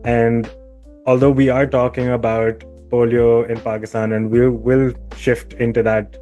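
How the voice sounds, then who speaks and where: A man's voice: medium pitch, mellow. A man hosting a podcast, introducing the subject and putting questions in a conversational way. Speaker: podcast host.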